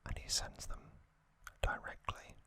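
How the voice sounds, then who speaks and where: ASMR recap host, fully whispered, bright sibilant tone, measured short phrases. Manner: hushed, slow, deliberate, in short phrases.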